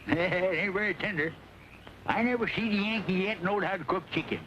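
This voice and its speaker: A man's voice: high-pitched, a little fast, dull audio, lightly acted. A man playing a cantankerous, cheeky rebel sidekick in an old western. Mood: gleeful. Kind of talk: old western film acting. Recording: noisy old film soundtrack.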